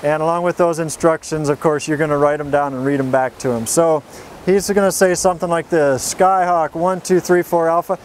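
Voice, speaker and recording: A man's voice: fairly high pitch, steady tone, brisk, a little hiss or hum. A man working as a flight instructor, giving an informal, straightforward tutorial with folksy step-by-step explanations. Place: outdoors.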